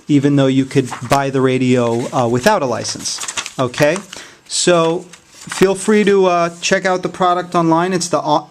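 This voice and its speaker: A man giving a casual, unscripted product review in a hesitant, halting way. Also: fairly high pitch, slight background noise.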